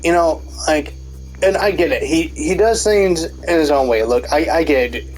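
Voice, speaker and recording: A man's voice: fairly high pitch, moderate pace, a little mellow, faint music behind, poor audio. A man in a casual, rambling commentary rant, full of fillers and restarts, swearing often, with a stuffy nose. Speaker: commentary YouTuber.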